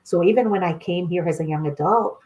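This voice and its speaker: Haitian-born woman, lively storytelling guest. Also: lowish voice, brisk, low-quality recording. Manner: animated storyteller, laughs often, addresses listeners as y'all. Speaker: guest, clinical sexologist. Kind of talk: casual podcast conversation.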